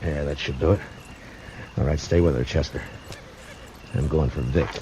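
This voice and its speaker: An American actor playing a stern, commanding US Marshal in a 1950s radio western, speaking with terse authority. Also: male voice, a bass voice, steady tone, normal speed, noisy background. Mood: hushed, urgent. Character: stern, commanding male US Marshal of the Old West. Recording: dull old radio recording, music behind.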